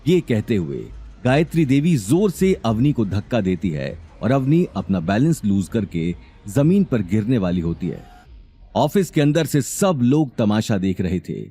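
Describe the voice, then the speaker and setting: Deep, animated male story narrator. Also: husky. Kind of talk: dramatic scripted story narration.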